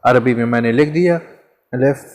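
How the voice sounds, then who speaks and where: A man's voice: mid-range voice, moderate pace, darkish tone. A man teaching a Hindi grammar lesson in a casual, chatty way, asking questions and answering them himself. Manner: conversational, repeats examples, asks and answers himself.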